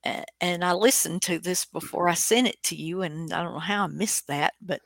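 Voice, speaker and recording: A woman's voice: on the low side, moderate pace, gravelly, no room sound, clean studio sound. A woman hosting a radio show, chatting casually in a rambling, hesitant way. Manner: rambling, hesitant, reminiscing delivery. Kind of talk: casual chat.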